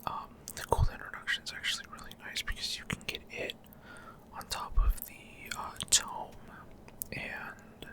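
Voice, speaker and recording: A man speaking in a soft, unvoiced whisper as he gives ASMR gameplay commentary, halting with frequent pauses mid-sentence. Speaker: gaming video host.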